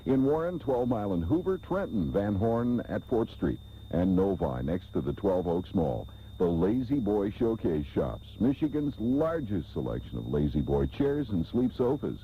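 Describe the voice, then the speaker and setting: A man's voice: fairly low pitch, very animated, moderate pace, a little hiss or hum. A man reading a retail radio commercial as an announcer. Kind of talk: radio advertisement read. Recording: tinny, humming old radio tape.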